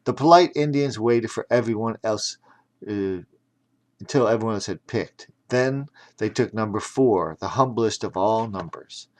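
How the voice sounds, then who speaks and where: A man's voice: fairly low pitch, a little slow. A man narrating a children's audiobook theatrically, breaking off for chatty explanatory asides.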